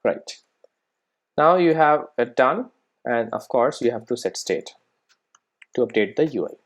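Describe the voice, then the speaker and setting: A software instructor giving an informal screencast coding tutorial, explaining step by step and often checking that listeners follow. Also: mid-range voice, expressive, unhurried. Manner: explanatory, frequently checking understanding with tag questions.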